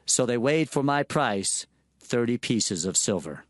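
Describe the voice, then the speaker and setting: An actor playing a grave, earnest Hebrew prophet in a dramatized scripture reading, measured and declamatory. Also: male voice, mid-range voice, animated, natural voice. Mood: grave, resolute. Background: music.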